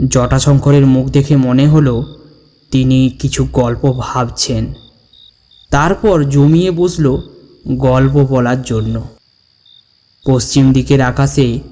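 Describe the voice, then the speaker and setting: A man narrating a scripted ghost story in a dramatic, deliberate way, with measured pauses. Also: mid-range voice, warm tone. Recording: long reverb over the voice. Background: background music.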